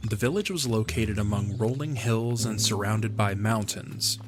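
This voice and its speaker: Low-voiced male story narrator over music. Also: moderate pace.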